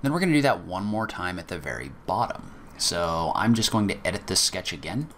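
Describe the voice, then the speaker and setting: A man teaching a software tutorial, explaining each step methodically in a casual way with occasional fillers. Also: sits low, big pitch swings, brisk, a little hiss or hum. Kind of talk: screen-recorded software walkthrough.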